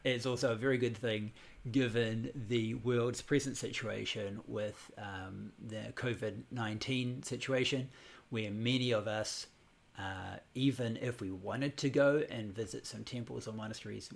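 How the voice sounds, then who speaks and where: A man from New Zealand in his forties, teaching a Buddhism class over a casual livestream in a relaxed, rambling way with many fillers and restarts. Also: lowish voice, normal speed, creaky. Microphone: computer or phone livestream.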